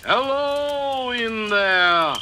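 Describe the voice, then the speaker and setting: A defiant professor from an old radio mystery. His voice is high and swoops widely, with slow, formal, showman-like phrasing. The old recording is clearly noisy. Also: animated, exaggerated voice. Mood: grandly theatrical. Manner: theatrical, deliberate, formal. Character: theatrical, defiant older male professor staging an illusion.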